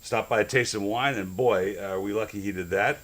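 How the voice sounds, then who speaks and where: A middle-aged man hosting a casual interview, chatty and enthusiastic with quick reactions. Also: on the low side, a bit bright. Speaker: show host.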